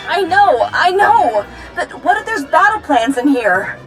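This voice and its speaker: Eager, very high-voiced young heroine. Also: female voice, big pitch swings, measured pace, a bit bright, faint music behind, heavily acted, poor audio. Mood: anxious, eager. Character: eager, earnest young female Jedi companion. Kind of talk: scripted video game acting.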